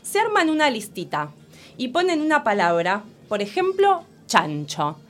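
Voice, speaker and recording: Woman teacher, Rioplatense accent, very animated pitch, playful and coaxing with kids. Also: unhurried. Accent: Rioplatense Spanish. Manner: lively, laughs often, coaxing children. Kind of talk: playful educational broadcast chat.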